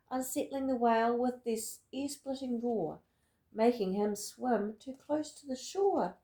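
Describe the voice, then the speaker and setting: A woman reading a rhyming picture book aloud in an expressive, deliberate storytelling manner. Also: a little high, roomy sound. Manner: dramatic, deliberate storytelling with emphatic words. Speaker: storybook reader.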